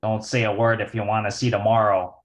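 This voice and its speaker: A man's voice: on the low side, level tone, brisk, lightly acted. A man playing a tough, slangy 1940s kidnapper in an old-time radio crime drama, with a menacing hard-boiled delivery. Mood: threatening.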